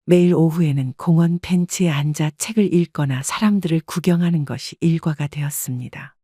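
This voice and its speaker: A woman calmly narrating a first-person life story. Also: low-pitched, fairly quick, slightly rough, no echo, clean studio sound.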